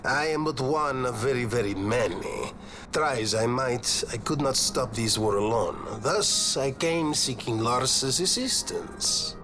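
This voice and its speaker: A man's voice: mid-range voice, animated, unhurried, over music, close to an everyday voice. An actor playing a suave, flamboyant nobleman in a video game, with a courtly, theatrical manner. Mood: suave, flattering. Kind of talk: scripted game cutscene acting.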